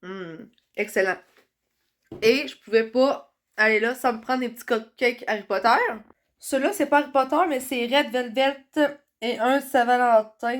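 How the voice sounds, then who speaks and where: A young woman with a Quebec French accent, chatting excitedly in a casual vlog while congested from a cold. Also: a little high. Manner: chatty and excitable, many fillers. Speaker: vlogger.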